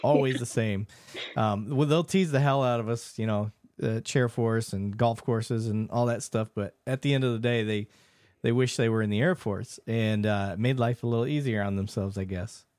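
A man's voice: medium pitch, normal speed, darkish tone, no echo. Man chatting informally, very dry sound. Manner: informal, conversational storytelling. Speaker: interviewer, Air Force veteran. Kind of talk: casual chat.

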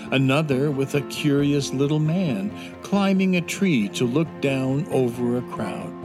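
A man narrating a children's fantasy audio drama in a measured, descriptive way. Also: mid-range voice. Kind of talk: scripted storybook narration.

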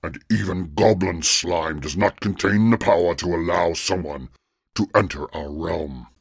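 A man voicing a stately, commanding troll king who speaks slowly and gravely. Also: low-pitched, dry recording, clean studio sound, exaggerated voice. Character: deep-voiced regal troll king, commanding.

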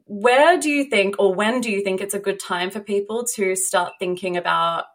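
A woman's voice: mid-range voice, normal speed. Chatty, effusive woman podcast host. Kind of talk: podcast introduction and interview chat.

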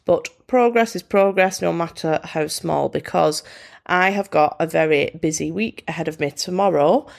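A woman's voice: lowish voice, normal speed, gravelly. A British woman hosting a solo podcast, chatting in an upbeat, self-deprecating way with a bunged-up, congested voice. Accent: British English. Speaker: podcast host. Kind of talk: casual solo podcast chat.

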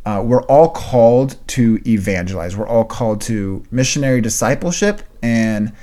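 A young man co-hosting a podcast, talking in an earnest, casual way and clearing his throat now and then. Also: mid-range voice, normal speed, dark tone. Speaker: podcast co-host and writer. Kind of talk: casual chat.

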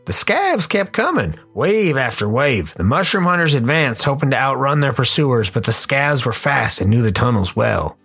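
A man's voice: mid-range voice, sing-song, moderate pace, creaky, hardly any pauses, a bit bright, slightly rough, soft background music. A man narrating a comic radio play as its host, dramatic in delivery with a wry touch.

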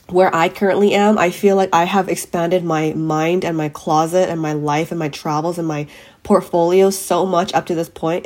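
A woman hosting a podcast, her voice a bit raspy from a cold coming on, giving an earnest, emphatic motivational monologue to her listeners. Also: lowish voice, darkish tone. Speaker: podcast host.

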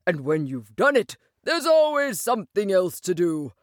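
A woman's voice: mid-range voice, big pitch swings, moderate pace, no room sound, studio quality, lightly acted. A woman voicing a cheery, easygoing male water rat in a storybook, with a hearty, breezy and dreamy manner. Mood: carefree, breezy. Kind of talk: animated storybook character reading.

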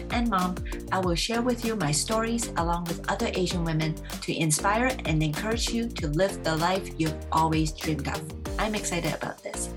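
A woman delivering her podcast's scripted intro as host and life coach, with a polished, upbeat delivery. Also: on the low side. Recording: voice over clear background music.

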